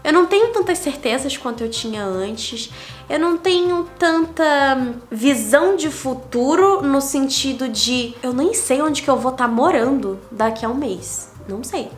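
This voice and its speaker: A woman's voice: sits high, animated, unhurried, soft background music. A young Brazilian woman with a Brazilian Portuguese accent, talking candidly in a casual vlog and counting off numbered lessons. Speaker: digital nomad vlogger. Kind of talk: casual reflective vlog monologue.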